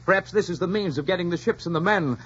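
An actor playing a scheming, deferential pirate first mate in a 1940s radio drama, theatrical and persuasive. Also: male voice, high voice, fast, fairly bright tone, breathy edge. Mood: eager, scheming. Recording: muffled 1940s radio recording.

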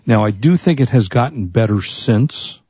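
A man co-hosts a relaxed tech talk show with a husky voice that is starting to give out. His tone is mellow and dark. The recording is dry and a little dull. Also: medium pitch, moderate pace, no room sound. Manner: chatty, offers product rundowns and opinions. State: losing his voice. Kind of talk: casual tech chat.

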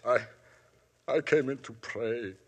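A man's voice: mid-range voice, slow pace, breathy edge. An actor playing a frightened refugee man who has killed someone, with a pleading, anguished delivery, in a 1950s radio drama. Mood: desperate. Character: frightened German refugee man, guilt-ridden murderer. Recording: old broadcast with faint music.

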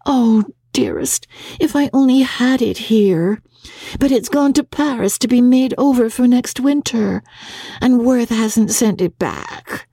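A woman's voice: fairly high pitch, a little slow, gravelly, mellow, husky, no room sound, studio quality, voice pushed up, full character voice. A woman voicing a shy young society bride in a storybook reading, plaintive, girlish and coaxing. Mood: wistful. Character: shy, pouting young American society bride fretting sweetly.

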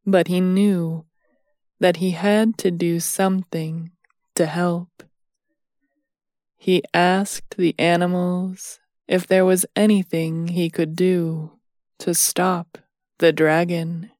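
Woman telling a bedtime story super slowly, lowish voice, soothing, lots of pauses. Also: little pitch movement, a little mellow, no room sound, studio quality. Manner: soothing, measured, with long pauses between phrases. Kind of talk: scripted bedtime-story narration.

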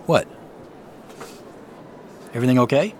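An actor playing a weary, wry man who narrates his own secret quest in an audio drama, in a measured way. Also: mid-range voice, lively intonation, darkish tone, slight background noise, natural voice. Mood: casual, wry. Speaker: narrator and lead character. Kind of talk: first-person audio drama narration and dialogue.

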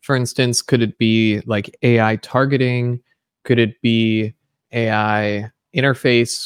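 A man's voice: lowish voice, clear, resonant tone, no room sound, studio quality. A man hosting a podcast, asking questions in a conversational interview style.

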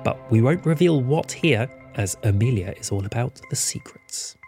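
A man's voice: medium pitch, animated, normal speed, mellow. A man reading a scripted podcast announcement as the show's announcer. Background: music.

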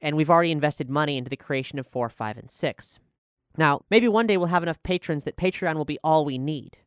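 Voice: mid-range voice, fairly quick, clear, resonant tone, no room sound, clean studio sound. A podcast host and showrunner speaking earnestly and articulately while making announcements and talking about a production.

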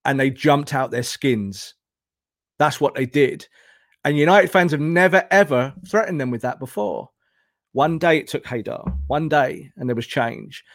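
Man, football podcast pundit, higher-pitched voice, dry close sound, emphatic, opinionated, rhetorical delivery. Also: lively intonation, unhurried, no room sound. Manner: emphatic, rhetorical questions and repetition. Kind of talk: impassioned opinionated discussion.

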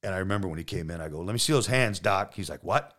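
Male podcast guest, low loud voice, joking and profane raconteur, loose conversational delivery. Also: lively intonation, quick pace. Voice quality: deep, loud voice. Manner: joking, profane, frequent quoted dialogue. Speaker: podcast guest, injured police detective. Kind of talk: casual storytelling. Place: podcast studio.

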